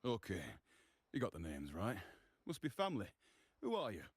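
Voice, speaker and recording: An actor playing a gruff, unhurried male gang agent, wary of strangers. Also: on the low side, huge pitch swings, no room sound, heavily acted. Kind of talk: video game dialogue. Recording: game music underneath.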